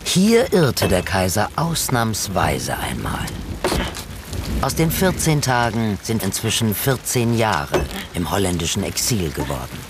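Male narrator for radio drama: lowish, very animated intonation, loud outdoor noise beneath. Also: measured pace.

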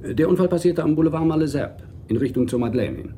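Actor as mellow, matter-of-fact police official. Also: male voice, on the high side, lively intonation, fast, a little hiss or hum, lightly acted. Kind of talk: radio drama acting.

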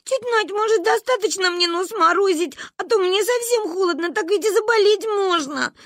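A woman's voice: very high voice, normal speed, a bit bright, no room sound, studio quality, pitched above the performer's own voice. A woman voicing a stubborn, bossy, dramatic little girl in a story, insistent and theatrical. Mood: plaintive.

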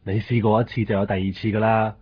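A thin, phone-like recording of a male actor as a suspicious Hong Kong man in a radio drama. His lowish voice nags and scolds with sarcasm, over faint noise. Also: flat delivery, quick pace, natural voice. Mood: accusing, sarcastic. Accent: Hong Kong Cantonese. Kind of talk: 1990s Cantonese radio drama acting.

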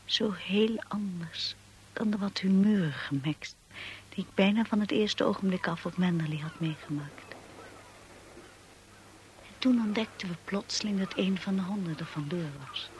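An actress playing a timid, anxious young wife in a Dutch radio drama, hesitant and devoted, also narrating softly in the first person. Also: female voice, medium pitch, normal speed, lightly acted. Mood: hushed, reflective narration. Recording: noisy old radio play recording.

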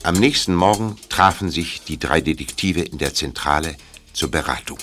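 A man's voice: a bass voice, normal speed, fairly bright tone, soft background music. A man giving scripted narration for a German audio drama.

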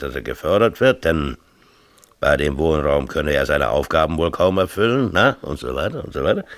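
An actor playing an agitated, self-pitying middle-aged mayor in a radio drama, rambling and insistent in colloquial talk. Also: male voice, rumbling low, fairly bright tone, full character voice. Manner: rambling, insistent, peppers talk with tag questions. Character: agitated, self-pitying small-town mayor and exasperated father. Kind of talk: 1970s East German radio drama acting, colloquial dialogue.

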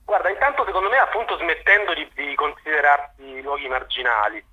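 A man being interviewed on radio, explaining his ideas haltingly, searching for words and repeating them, over thin phone-like audio. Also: a little high, breathy edge. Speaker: interview guest, journalist.

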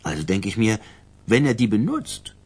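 Mobster's son, husky, insistent, halting, aggrieved, radio crime drama. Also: male voice, on the low side, sing-song, a little mellow. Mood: halting, nervous. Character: pushy, inarticulate gangster son pleading with father.

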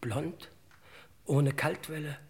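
A droll, excitable sidekick to a detective in an old crime radio play. He has a high-pitched voice with a crackly rasp of fry. His phrases are clipped, deferential and mock-formal. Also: slow pace. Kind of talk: 1950s-60s German crime radio play acting.

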